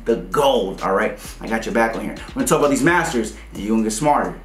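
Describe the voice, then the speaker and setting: Male host, 30s. Rapid, emphatic, slangy explainer delivery in an echoey room. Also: on the low side, lively intonation, a little hiss or hum. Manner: emphatic, slangy, repeats points for clarity. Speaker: host of a music business channel for rappers. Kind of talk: casual explainer talk to camera. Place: small home studio room, indoors. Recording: roomy indoor echo.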